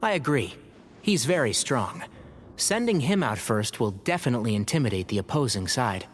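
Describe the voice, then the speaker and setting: Confident young male duelist , male actor, video game: expressive, medium pitch, very lively intonation. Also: moderate pace, a little hiss or hum, lightly acted. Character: confident, determined young male warrior, a grandson. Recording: faint game music behind.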